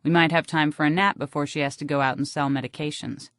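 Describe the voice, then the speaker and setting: A woman with an American accent reads a literary first-person audiobook in a wry, deadpan manner, close on the microphone. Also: low-pitched, quick pace, no room sound. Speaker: audiobook narrator.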